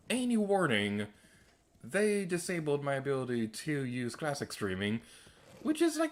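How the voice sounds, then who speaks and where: A man streaming a video game with a naturally quiet voice, chatting casually and a little self-deprecating as he plays. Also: medium pitch. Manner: soft-spoken, rambling and self-deprecating. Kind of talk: casual gameplay commentary.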